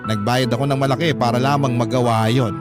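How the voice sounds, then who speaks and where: Filipino male narrator actor in role, mid-pitched, troubled and confessional, scripted radio love story with clear music bed. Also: fairly even intonation, quick pace, natural voice. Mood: resigned, troubled. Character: troubled, embarrassed young man confiding his love story. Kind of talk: scripted first-person radio drama narration. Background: background music.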